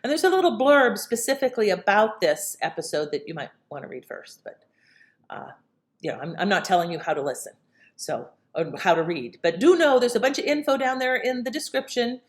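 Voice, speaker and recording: A woman's voice: mid-range voice, animated, brisk. A woman host giving a chatty, rambling introduction with fillers. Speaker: podcast host. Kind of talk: casual chat introduction.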